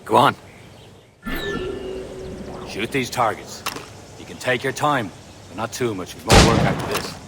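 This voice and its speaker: Low-voiced teasing combat trainer. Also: male voice, noisy background. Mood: teasing, brisk. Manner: brisk, bantering instruction. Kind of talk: video game voice acting.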